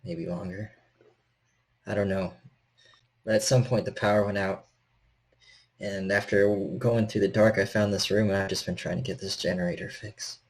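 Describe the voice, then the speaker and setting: Creaky male voice, game master acting out gentle frightened handyman, hesitant, stammering, friendly, tabletop horror game. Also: fairly low pitch, flat delivery, normal speed, long pauses, close to an everyday voice. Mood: weary, earnest. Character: stocky, gentle, frightened lost handyman named Michael.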